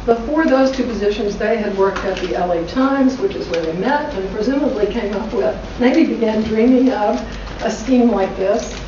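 A woman's voice: mid-range voice, normal speed, few pauses. A middle-aged woman introducing guests at a forum with chatty asides, heard from across a conference room. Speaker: school director and forum host. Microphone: far room camera mic. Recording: echoey room from far off, dull lo-fi, noisy.

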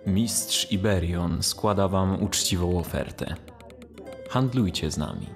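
Male actor, assured cult envoy, fantasy radio play, creaky low voice, solemn, faint music. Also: full character voice. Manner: measured, formal, assured. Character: solemn, confident cult envoy selling swamp herb. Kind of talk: fantasy audio drama acting.

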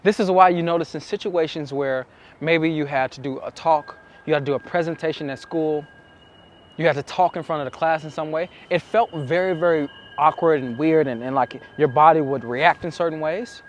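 A young man with a slightly high voice talks to camera as a coach. He phrases his points deliberately and emphatically, pausing as he goes. He is outdoors on a city street, with some light noise around him. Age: young adult. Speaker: dating coach. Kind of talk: explaining to camera. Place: outside on a city street by a large building.

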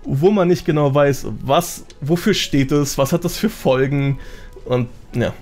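A man's voice: on the high side, unhurried, darkish tone. A man with a colloquial, slangy way of explaining things, chatting casually as a guest on a gaming podcast. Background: background music.

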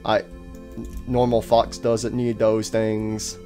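A man voicing a calm, oblivious animatronic fox who stammers and rambles in an amateur comedy skit. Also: on the low side, slow, faint music behind. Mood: hesitant, puzzled.

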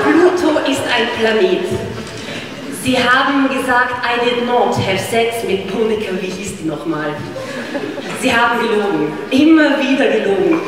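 A woman performs slam poetry at a stand mic, in a rhythmic, emphatic delivery. Her voice sits a little high. The sound is distant, with a long booming hall echo and a live audience clearly heard around her. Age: 30s. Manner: rhythmic, emphatic, gesturing widely. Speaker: slam poet. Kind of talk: spoken word poetry performance. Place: large dark stage hall with live audience. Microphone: stand microphone.

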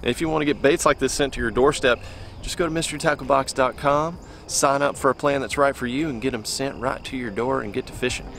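A young man hosting a fishing video, explaining a lure in relaxed, conversational talk to camera outdoors by a lake. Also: mid-range voice, fast, gravelly, slight background noise. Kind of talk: casual instructional talk to camera.

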